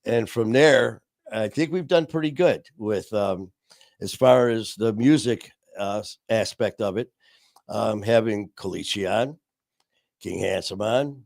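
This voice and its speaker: A man's voice: lowish voice, a little slow, vocal fry, a little mellow, dry recording, studio quality. A man hosting his live online show, chatting casually and gratefully, rambling with lots of fillers. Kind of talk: casual live-stream chat.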